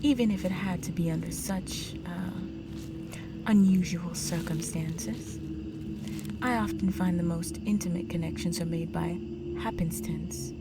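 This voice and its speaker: A woman playing a seductive, possessive vampire countess in a roleplay audio drama, elegant and teasing, with a breathy delivery that drops into whispers. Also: fairly low pitch, close to an everyday voice, poor audio. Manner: teasing, condescending, elegant, slow and deliberate. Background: music.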